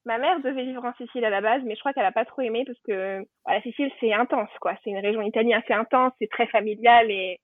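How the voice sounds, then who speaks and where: A woman's voice: fairly high pitch, fast, few pauses, no echo. Chatty woman on a thin phone-like line. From Normandy, France. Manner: chatty, anecdotal, thinking aloud. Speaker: podcast guest. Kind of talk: casual personal storytelling. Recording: thin phone-like band, dry.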